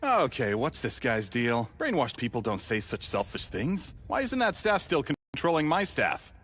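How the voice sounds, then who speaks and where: An actor playing a greedy, gloating young boss in an animated comedy dub, theatrical, swinging between sly muttering and bellowing. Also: male voice, mid-range voice, normal speed. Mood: scheming. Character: scheming young male boss, manic, greedy, gloating megalomaniac.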